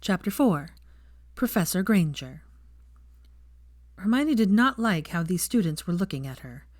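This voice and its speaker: Female fiction narrator: lowish pitch, scripted audiobook reading, very dry sound. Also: big pitch swings, unhurried, darkish tone, no room sound.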